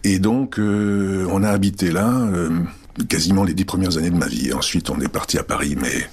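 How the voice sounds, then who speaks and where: Low husky-voiced man, hesitant and reflective. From Czechoslovakia. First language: Czech. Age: 50s. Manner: thoughtful, hesitant with many pauses. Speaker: interview guest. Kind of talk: reflective personal interview.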